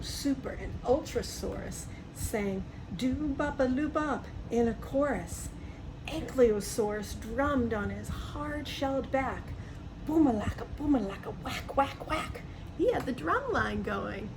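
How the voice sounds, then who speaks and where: A woman's voice: fairly high pitch, animated, a little slow. A woman reading a picture book aloud to young children, adding chatty asides, simple explanations and questions to the listener. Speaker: storybook reader for young children. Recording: clearly noisy background.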